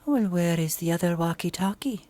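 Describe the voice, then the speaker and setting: A woman's voice: lowish voice, lively intonation, normal speed, warm tone, soft background music, lightly acted. A woman playing a courteous, anxious lady in an amateur radio drama. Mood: polite, uneasy. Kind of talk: amateur old-time radio drama acting.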